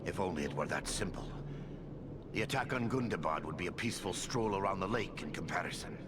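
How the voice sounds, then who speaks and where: An actor playing a stern, dignified dwarf lord in a fantasy video game, speaking in formal, old-fashioned phrases. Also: male voice, low voice, animated, a little fast, heavy background noise. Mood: grave. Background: loud background music.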